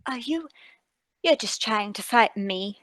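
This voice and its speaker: A woman performing as a kindly, easily alarmed lady in a radio drama. She speaks slowly and gently, fussing with concern, her voice swinging expressively up and down in a dry, close sound. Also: a little high, expressive, dry recording. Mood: frightened, pleading. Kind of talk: dramatic radio-play table read.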